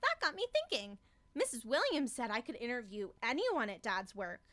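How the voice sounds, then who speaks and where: A woman's voice: on the high side, wildly swooping pitch, normal speed, fairly bright tone, no room sound. A young woman playing a perky, naive schoolgirl in a campy radio comedy, reading her diary with exaggerated childlike delivery. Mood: perky, plucky. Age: young adult. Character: young schoolgirl Megan, chirpy, naive, gleefully rough. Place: small radio studio. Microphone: studio microphone on stand.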